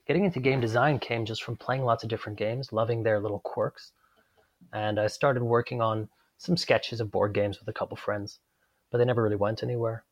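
A man raised abroad, answering interview questions as a guest game designer in a relaxed, matter-of-fact way with dry humor. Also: lowish voice, a little fast, no room sound. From Netherlands, raised abroad.

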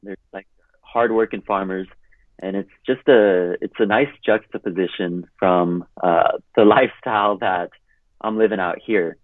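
A man about to turn thirty, from California, rambles with a steady stream of fillers as a podcast guest. His voice sits a little low and comes through a tinny phone line, dry and close. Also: a little slow, no room sound. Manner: rambling, heavy use of "like". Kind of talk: casual interview chat.

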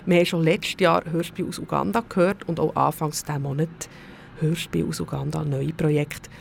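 A woman's voice: low-pitched, fairly even intonation, moderate pace, creaky, a little hiss or hum. A woman with a Swiss German accent presenting on radio in a conversational way, speaking directly and informally to the listener. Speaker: radio host.

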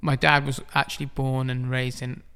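A middle-aged man from England, a guest artist in a casual interview, talking in a halting way with many restarts and frequent chuckles. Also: medium pitch, level tone, bright, forceful tone, clear, resonant tone.

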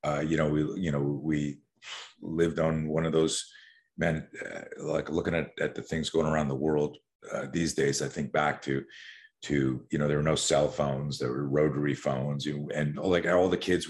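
A man in his sixties, from Canada, with a cavernously deep voice. He tells his stories as a podcast guest in a loose, animated way, often looping back and starting his sentences over. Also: moderate pace. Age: 60s. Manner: animated, rambling storyteller with many restarts. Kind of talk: casual podcast interview.

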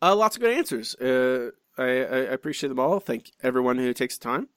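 A man's voice: medium pitch, a little fast, smooth, no room sound. Dry, chatty podcast host man. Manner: chatty and animated, many restarts and asides. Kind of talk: casual podcast chat, reading listener comments.

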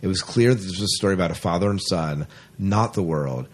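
A man's voice: deep voice, a little fast. A man hosting a casual sci-fi podcast, chatting in an enthusiastic way. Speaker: podcast host.

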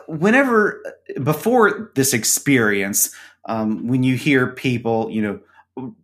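A man's voice: mid-range voice, a bit bright. A man talking as a guest author in a casual interview, hesitant and full of fillers. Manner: hesitant, many fillers and restarts.

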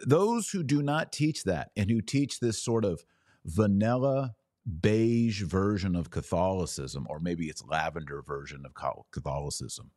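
Deep-voiced American man, dry close recording. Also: animated, measured pace, a little mellow, no echo. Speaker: podcast host and commentator. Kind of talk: opinionated church news commentary.

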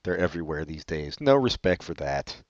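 Sarcastic man with a lively voice. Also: normal speed, dry recording. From California. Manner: sarcastic, deadpan jokes with sudden pitch jumps. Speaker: co-host reading online questions. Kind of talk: casual comedic banter. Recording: dull, muffled lo-fi audio.

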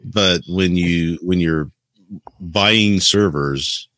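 A man with a deep voice, recorded close and dry, chats as a podcast co-host. He is explanatory and opinionated, laying out his points in an informal discussion. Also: drawn-out words, a bit bright, no room sound. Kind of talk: casual tech discussion.

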